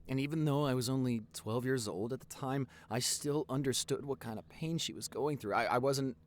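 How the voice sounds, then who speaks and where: A man playing a sincere, protective young boyfriend in an intimate audio roleplay, rambling earnestly with halting repeats and pauses. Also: mid-range voice, lightly acted. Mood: fond.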